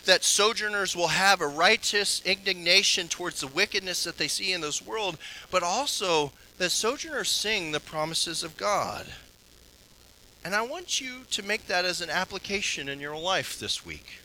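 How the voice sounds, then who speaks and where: A man preaches from the pulpit in an earnest sermon style, his voice very bright and cutting and sitting a little high. He swings between strong, raised passages and low, hushed ones, and closes in prayer. A faint room ambience sits behind him. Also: animated, moderate pace, a little hiss or hum, poor audio. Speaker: pastor.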